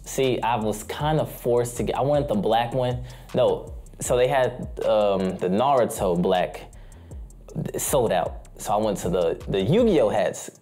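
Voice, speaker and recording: A man's voice: lowish voice, unhurried, warm tone, faint music behind. A young man chatting casually in a haul video, hyped and slangy, repeating his phrases. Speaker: streetwear haul vlogger. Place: small indoor room full of anime posters.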